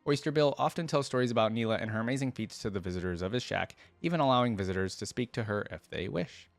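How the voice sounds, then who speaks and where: A man's voice: lowish voice, expressive, fast, creaky, no echo. A man hosting a reaction video, musing aloud and addressing viewers in casual, conversational commentary.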